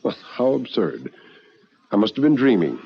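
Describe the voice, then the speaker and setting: A man playing a meek, self-deprecating bachelor, telling his story as measured, wistful first-person radio narration. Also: lowish voice, very animated, creaky, breathy edge, muffled recording, heavily acted. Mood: measured, uneasy. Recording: 1950s radio recording with music underneath.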